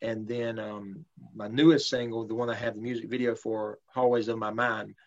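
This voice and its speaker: A man, a country singer talking casually in an interview in a relaxed, polite way with many fillers. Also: fairly low pitch, normal speed. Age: 30s. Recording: slightly dull lo-fi sound.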